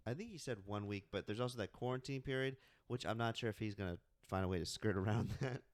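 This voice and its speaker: A young man in his twenties with a fairly low voice trades teasing, deadpan quips in casual podcast banter. The recording is dry and close to the mic. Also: fast talker, dry recording. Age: 20s. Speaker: podcast co-host.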